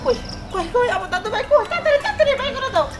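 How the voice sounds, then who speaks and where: A woman playing a bossy, excitable rich grandmother with a Bangladeshi accent, scolding and commanding in a web drama. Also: very high-pitched, rapid-fire. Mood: bossy, impatient. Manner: scolding, commanding, mixes in English phrases. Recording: music over outdoor dialogue.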